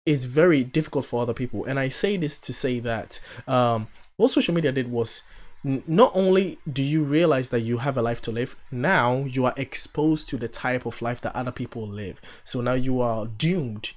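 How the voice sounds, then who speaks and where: A man in his thirties hosts a reaction video. He chats at a middling pitch, wandering off on tangents and teasing with playful sarcasm. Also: normal speed. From Ghana. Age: 30s. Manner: chatty, rambling, playfully sarcastic. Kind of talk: casual reaction commentary.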